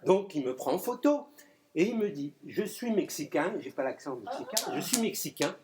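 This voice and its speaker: A man's voice: on the high side, sing-song, moderate pace, noisy background. A middle-aged man, a poet and clown, telling a story and reciting a comic rhyming poem at a live reading, with playful wordplay. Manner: playful wordplay, chuckling asides. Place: live poetry event room.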